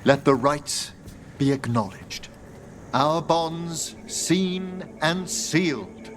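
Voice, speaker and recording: An actor playing a courteous, scholarly, melancholy older necromancer mage in a video game, speaking formally and theatrically. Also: male voice, a little high, expressive, drawn-out words, soft background music. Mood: warmly enthusiastic.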